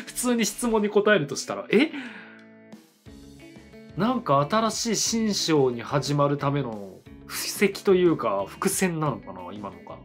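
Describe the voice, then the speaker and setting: A man in his thirties hosting an anime reaction video, musing aloud with short reactions and laughs in a small indoor studio. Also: high voice. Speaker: anime reaction channel host. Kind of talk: casual reaction commentary.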